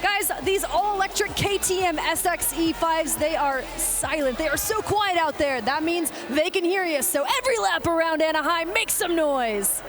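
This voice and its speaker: A woman co-commentating a live race, upbeat and steady, urging the crowd to cheer. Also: very high-pitched, moderate pace, few pauses. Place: stadium. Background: loud music.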